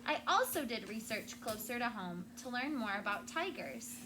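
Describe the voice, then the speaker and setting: High-voiced woman teacher in a noisy room. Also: sing-song, normal speed. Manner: explains in simple words with check-in questions. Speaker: schoolteacher. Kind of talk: classroom read-aloud and discussion with children. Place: classroom.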